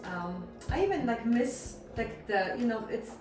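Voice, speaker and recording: A woman's voice: on the high side, very animated, unhurried. A woman from Poland with a Polish accent hosting a casual kitchen chat and asking questions. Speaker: host. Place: indoor kitchen with chopping and clattering dishes. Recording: roomy kitchen, distant mic, music underneath.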